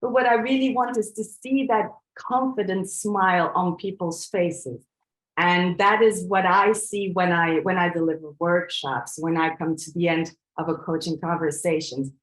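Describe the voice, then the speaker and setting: A woman in her forties from Belgium, a coach giving a presentation in an earnest, reflective way, often pausing on fillers and restarting her sentences. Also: lowish voice, steady tone, roomy sound, low-quality recording. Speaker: coach and trainer. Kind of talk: presentation to an audience.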